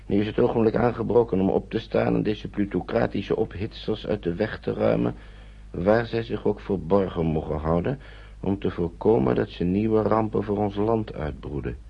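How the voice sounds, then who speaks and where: Radio play: a wary, gentlemanly Englishman, low and gravelly, hesitant delivery, lo-fi sound. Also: normal speed. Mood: reading gravely. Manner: hesitant, mumbled repetitions. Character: cautious, hesitant Englishman in hiding, gentlemanly uncle. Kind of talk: radio drama acting. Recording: dull lo-fi radio recording.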